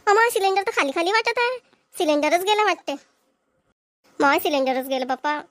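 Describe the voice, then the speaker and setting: A fretful cartoon homemaker acted by a woman with a rural Vidarbha accent. She fusses over household problems aloud in a very high, fast voice. The sound is dry. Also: expressive, a bit bright, no room sound. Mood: worried. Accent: Maharashtrian, rural Vidarbha dialect. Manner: fussing, talks problems through aloud. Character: fretful homemaker mother of two young children. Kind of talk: Marathi cartoon comedy dialogue and monologue.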